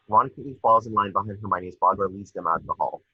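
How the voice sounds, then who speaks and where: A man's voice: fairly low pitch, quick pace, husky, dry recording, highs cut off. A man reading stage directions as narrator for a screenplay table read.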